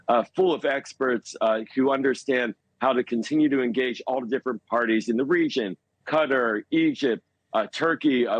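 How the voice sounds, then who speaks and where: A man with a mid-pitched voice works through analysis as a television news guest. He is measured and halting, leaning on key words for emphasis. The sound is dry, with very little room in it. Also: no room sound, low-quality recording. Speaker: foreign policy commentator, news guest. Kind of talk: live news interview analysis.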